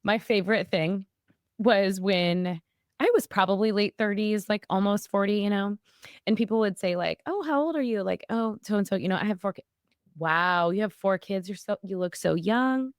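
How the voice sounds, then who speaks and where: A woman in her forties co-hosting a casual podcast, chatty, with quick asides and frequent laughter. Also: mid-range voice, clear, resonant tone, no echo, studio quality. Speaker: podcast co-host. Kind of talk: casual chat.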